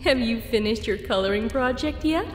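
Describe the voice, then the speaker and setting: A woman voicing a sinister shapeshifting creature posing as a sweet schoolteacher mare, measured and sweetly menacing, in an audio fan-fiction drama. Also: on the high side, lively intonation, close to an everyday voice. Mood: evasively pleasant. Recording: echoey hall reverb, music behind. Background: background music.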